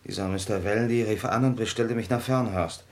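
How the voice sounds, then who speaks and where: Actor as low-voiced, defensive murder suspect. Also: male voice, fairly quick, lightly acted. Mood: irritated. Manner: defensive, irritable. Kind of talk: German crime radio play acting.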